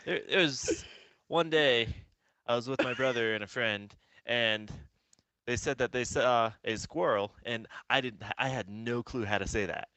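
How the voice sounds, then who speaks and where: Slow, teasing young man. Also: medium pitch, sharp tone, no room sound. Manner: unhurried, teasing, repeats words while starting sentences. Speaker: podcast co-host. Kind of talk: casual chat among friends.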